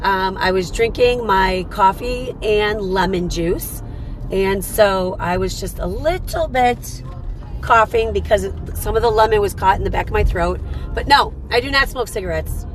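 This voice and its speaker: A woman with a hoarse, rough, tired voice hosting a motivational live stream, talking earnestly and repeating her points. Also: mid-range voice, unhurried, a little hiss or hum. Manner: repetitive, earnest, coaching tone with many rhetorical "right?".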